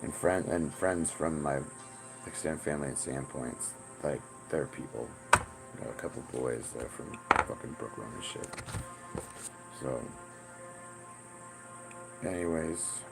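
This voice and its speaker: Man in his 40s, very deep voice, gravelly, rambling, profane, music behind. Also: a little slow, long pauses, poor audio. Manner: rambling, profane, repeats "the thing is". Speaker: self-styled spiritual teacher.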